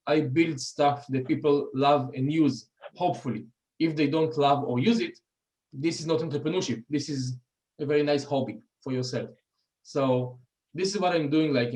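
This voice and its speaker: A middle-aged man with an Israeli accent, giving an informal talk with slides in a halting way, with many pauses and restarts. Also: a little high, darkish tone, rough recording. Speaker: guest speaker.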